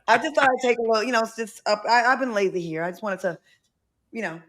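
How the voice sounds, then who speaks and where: A woman's voice: a little high, a little fast, fairly bright tone. A woman with a New England accent, chatting casually and laughing at herself as a co-host. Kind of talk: casual chat.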